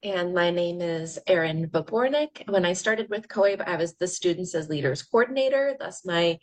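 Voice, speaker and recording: Upbeat lower-voiced woman hosting a webinar. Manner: upbeat, welcoming, reads smoothly. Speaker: webinar host. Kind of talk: scripted webinar welcome.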